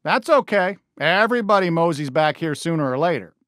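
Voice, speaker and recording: A man's voice: fairly high pitch, expressive, brisk, fairly bright tone, no room sound, studio quality. A man voicing a friendly, folksy American toy cowboy sheriff in a video game, eager and encouraging with a cowboy drawl. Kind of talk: video game character voice lines.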